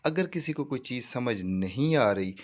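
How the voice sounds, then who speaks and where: A man's voice: medium pitch, animated, moderate pace. A man with a Pakistani accent giving an informal step-by-step tutorial, mixing in English terms and often checking that listeners follow. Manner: repeats reassuring check phrases, mixes in English terms. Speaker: tutorial presenter. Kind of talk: informal screen-walkthrough tutorial, explaining step by step.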